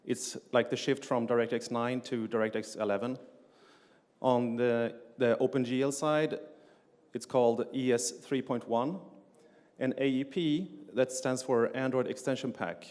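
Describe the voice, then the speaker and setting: Middle-aged man, conference speaker, filler-heavy technical delivery, mid pitch, echoing hall. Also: steady tone, unhurried, a little mellow. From Sweden. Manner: frequent "uh" fillers and restarts, plain explanatory delivery. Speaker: conference speaker, game engine developer. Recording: long reverb of a lecture hall.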